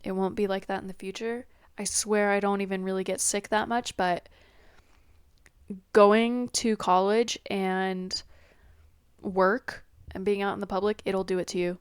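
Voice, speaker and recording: A woman's voice: mid-range voice, steady tone, unhurried, clear, resonant tone, no echo, studio quality. Chatty young woman with a stuffy nose. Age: young adult. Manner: chatty, scattered, self-deprecating. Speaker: podcast host. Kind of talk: rambling casual vlog chat. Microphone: laptop built-in mic.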